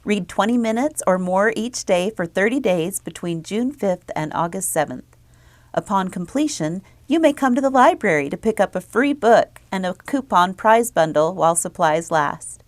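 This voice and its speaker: A woman library presenter reading scripted announcements and children's book reviews, clearly enunciated. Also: medium pitch, expressive, normal speed, no echo.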